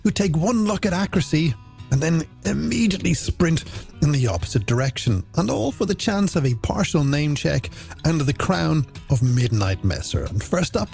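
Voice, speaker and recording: A man's voice: a little high, big pitch swings, normal speed, creaky, a little mellow. An Irish man presenting a late-night radio music show in a playful, theatrical, scripted way with flowery phrasing.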